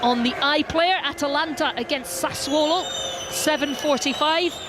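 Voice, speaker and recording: Woman calling football live, high voice. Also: music in the background. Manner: brisk, descriptive play-by-play calling. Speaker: play-by-play football commentator. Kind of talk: live radio match commentary. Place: football stadium commentary box. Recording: stadium crowd and loud background noise.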